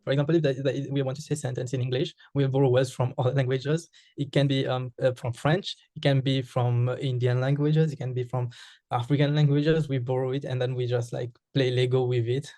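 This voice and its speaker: A man's voice: mid-range voice, little pitch movement, fairly quick, warm tone, no echo. A man from Mauritius with a Mauritian accent, a Creole speaker answering interview questions, hesitant with frequent fillers and restarts.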